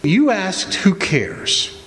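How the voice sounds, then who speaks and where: An actor playing an earnest, stubborn newspaper reporter, insistent and imploring, in an old-time radio drama. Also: male voice, sits high, huge pitch swings, drawn-out words, a bit bright, a little hiss or hum, exaggerated voice. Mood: earnest, compassionate. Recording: echoey hall stage recording.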